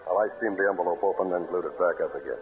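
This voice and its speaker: A man's voice: low-pitched, racing, natural voice. A man playing a sly, menacing ex-convict in an old-time radio western, with slangy tough-guy talk. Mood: sly, scheming. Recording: muffled, noisy old radio recording.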